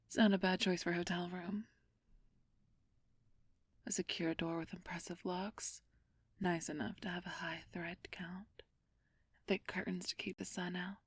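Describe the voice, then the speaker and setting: A woman playing a flirtatious, haughty ancient vampire thief in a whispered ASMR roleplay, teasing and condescending with a drawn-out purr. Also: fairly low pitch, level tone, no echo. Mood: haughty, amused. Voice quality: breathy whisper.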